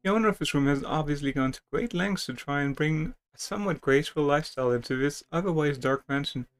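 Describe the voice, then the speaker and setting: A man's voice: a little high, fast talker. A man playing a video game, reading its text aloud dramatically with stumbles and casual commentary. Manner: theatrical, stumbles over written text. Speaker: video game player and streamer.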